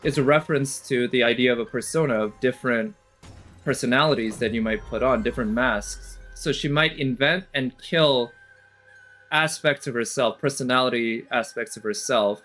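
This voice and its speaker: A man's voice: mid-range voice, moderate pace, a bit bright, faint music behind. A man giving casual, off-the-cuff commentary as a streamer, analytical and hesitant as he thinks aloud. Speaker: reaction streamer.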